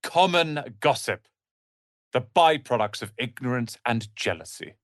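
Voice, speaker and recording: An actor voicing a proud, prickly young wizard's apprentice in a fantasy video game, haughty, theatrical and sharp-tongued. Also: male voice, medium pitch, very animated, unhurried, no room sound, studio quality. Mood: polite, self-important.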